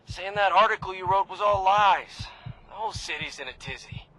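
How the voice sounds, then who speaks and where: Game guard: an obedient, apologetic young fellow, flustered, very high bright voice. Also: male voice, moderate pace, low-quality recording. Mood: uneasy.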